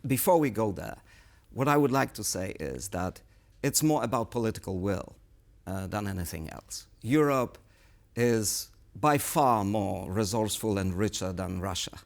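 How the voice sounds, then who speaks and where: A man speaking as a guest analyst in a current affairs interview, explaining things in a measured, analytical way. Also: lowish voice, animated, vocal fry. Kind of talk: studio interview commentary.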